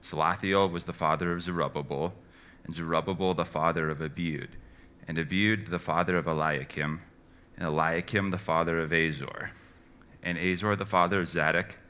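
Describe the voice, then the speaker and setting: A man reading scripture aloud in a measured way, phrase by phrase. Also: deep voice, steady tone, sharp tone. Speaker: scripture reader.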